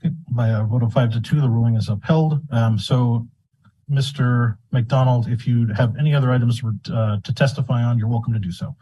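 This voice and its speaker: A man's voice: medium pitch, fairly even intonation, a little fast, warm tone, breathy edge, low-quality recording. A man chairing a formal board meeting, speaking politely but firmly in procedural language.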